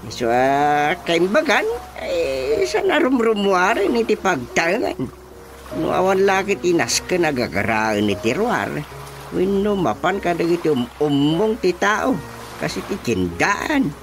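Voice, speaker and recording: A fussy, excitable village chairman in an Ilocano radio comedy. His Ilocano-accented voice swings widely in pitch as he scolds and exclaims theatrically. Loud music plays behind the dialogue. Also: unhurried, heavily acted. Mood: matter-of-fact.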